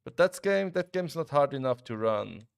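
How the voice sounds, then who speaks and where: A young man from Switzerland streams a game live and talks loosely with chat, picking up his thoughts again partway through. His voice is gravelly with a lot of fry and sits at an ordinary pitch. The sound is dry and close to the mic. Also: normal speed, no room sound. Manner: relaxed, chatty, talks to chat, frequent restarts. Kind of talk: casual live-stream commentary while gaming.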